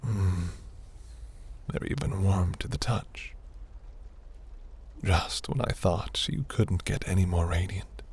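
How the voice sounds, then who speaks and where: Man voicing a deep, gravelly, seductive demon prince. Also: takes it slowly, pauses often, a little mellow, exaggerated voice. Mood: seductive, teasing. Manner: velvety, teasing, grandiose. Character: seductive, imperious demon prince, ageless and flirtatious. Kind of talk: scripted romantic fantasy audio roleplay.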